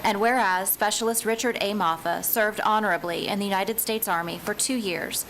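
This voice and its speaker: A woman county commissioner reading a formal proclamation in a measured, steady way. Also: mid-range voice, a little hiss or hum, rough recording. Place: county meeting chamber.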